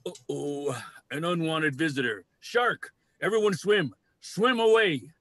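An older man playing a genial, unhurried, teasing male walrus in a play reading. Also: fairly high pitch, a bit bright. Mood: wary.

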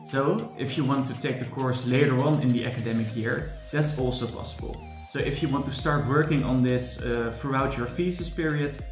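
A young man speaks earnestly into a desk webcam, laying out details point by point with frequent "furthermore" and small restarts. His voice sits at a middling pitch in a reverberant room, with soft music underneath. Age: young adult. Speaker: course supervisor and tutor. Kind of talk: promotional talk to camera. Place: room with large windows, indoors. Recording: echoey room with faint music.

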